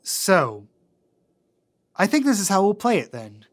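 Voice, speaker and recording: Game master with a mid-pitched voice. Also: very animated, unhurried. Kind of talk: narrating and refereeing a tabletop role-playing podcast.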